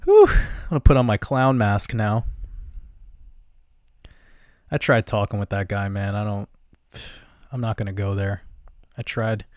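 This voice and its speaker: A young man with a gentle voice hosting a livestream, casually chatting and answering viewer comments in a relaxed, rambling way with easy laughs. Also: on the low side, measured pace, pauses often, no echo, studio quality. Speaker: livestream host.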